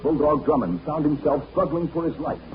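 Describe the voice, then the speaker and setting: A man's voice: on the high side, normal speed. A man announcing and narrating a 1940s radio drama in a dramatic, emphatic manner. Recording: tinny 1940s radio broadcast with music.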